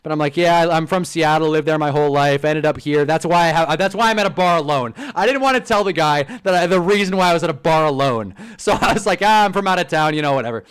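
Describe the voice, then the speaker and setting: A man's voice: a little high, fast talker, few pauses, clean tone. A man in his twenties with a Californian accent, hosting a live stream and telling stories casually. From Southern California. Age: 20s. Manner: animated, swearing, storytelling. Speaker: streamer host.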